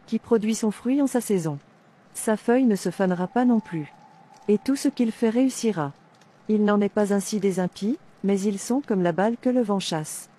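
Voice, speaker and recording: A woman playing a devout, fearless captive in an animated drama, reciting scripture in a declamatory way. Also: mid-range voice, lightly acted. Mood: steadfast, preaching. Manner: declamatory, reciting verses with references.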